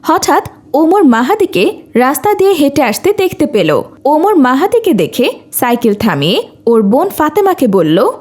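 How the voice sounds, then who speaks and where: A woman's voice: sits high, very animated, normal speed, a little mellow. A woman narrating a scripted children's story.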